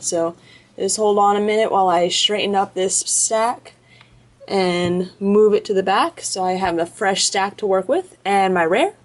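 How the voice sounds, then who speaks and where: A chatty woman of medium pitch talks casually while she opens card packs. She rattles off names one after another in an easygoing way, recorded close by at an indoor table. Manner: chatty, lists card names quickly in a row. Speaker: card-opening video host. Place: indoors at a table, off camera behind hands.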